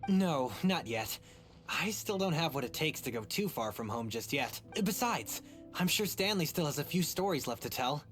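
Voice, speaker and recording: Eager boyish adventurer, very lively intonation. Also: female voice, sits low, normal speed, soft background music. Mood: modest, fond. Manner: earnest, expressive. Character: earnest, eager young male would-be adventurer. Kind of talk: video game voice acting.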